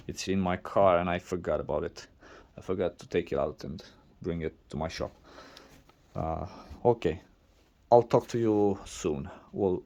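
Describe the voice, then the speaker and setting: Non-native English man, slow and low-pitched, halting workshop vlog narration, shot on a camera mic. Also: darkish tone. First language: not English. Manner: halting, many restarts and fillers. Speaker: DIY video maker.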